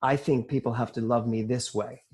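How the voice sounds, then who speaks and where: A man's voice: medium pitch, steady tone, normal speed, a little mellow. A man leading a group coaching session, questioning members in a direct, probing way. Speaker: group leader.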